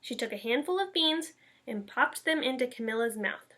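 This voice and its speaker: A woman reading a children's story aloud in an expressive, emphatic school read-aloud style. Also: on the high side, moderate pace. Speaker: elementary school staff member reading aloud.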